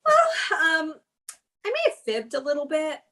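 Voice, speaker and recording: A woman's voice: high-pitched, huge pitch swings, measured pace, bright, forceful tone, full character voice. A woman playing a candid, exasperated young girlfriend with a wry manner in a comedy script table read. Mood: sheepish.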